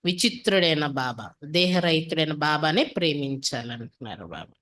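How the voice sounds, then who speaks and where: A woman delivers a devotional discourse to a class. Her voice sits a little low for a woman. She explains point by point, asking rhetorical questions and returning to set refrains. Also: expressive, normal speed, a bit bright. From India. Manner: didactic, with rhetorical questions and repeated attributive refrains. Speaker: spiritual teacher reading and explaining a daily discourse.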